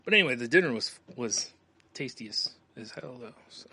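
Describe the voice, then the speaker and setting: Bright-voiced man rambling through a story. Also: mid-range voice, lively intonation, a little fast, slightly rough, no room sound. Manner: rambling storyteller, many fillers, laughs often. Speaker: podcast co-host. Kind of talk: casual chat.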